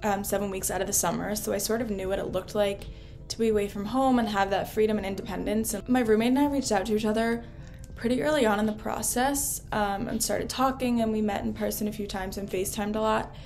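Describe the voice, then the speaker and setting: A woman's voice: mid-range voice, steady tone, fast, darkish tone, faint music behind. A young woman from New Jersey, a college freshman, giving a casual, thoughtful account of her first semester. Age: young adult. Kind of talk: casual testimonial.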